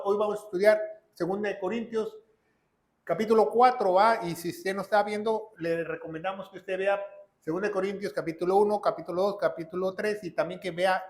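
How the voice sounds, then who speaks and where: A man preaching a verse-by-verse Bible study, speaking emphatically, repeating phrases and asking rhetorical questions of his congregation. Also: sits high. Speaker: pastor. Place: church in Tennessee.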